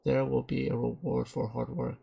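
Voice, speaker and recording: A man narrating game text aloud in a dramatic, halting way, stumbling over some words as he reads. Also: mid-range voice, little pitch movement, vocal fry, mellow, breathy edge.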